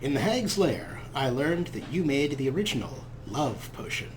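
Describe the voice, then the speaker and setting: A man's voice: medium pitch, very animated, normal speed, a little hiss or hum. A man hosting a let's play with wry, amused, casual commentary.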